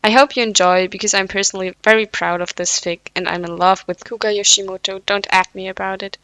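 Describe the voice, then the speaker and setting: A woman's voice: mid-range voice, fairly even intonation, fast talker, sharp tone, no echo, poor audio. A woman reading fanfiction aloud at home, with casual, self-deprecating asides; English is not her first language. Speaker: podfic reader.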